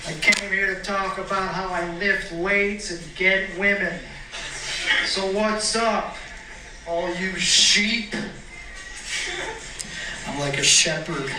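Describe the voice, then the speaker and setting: A man's voice: high voice, takes it slowly, pauses often, roomy sound, noisy background. A man performing a cocky, brash stand-up set at an open mic in a bar, recorded from a distance.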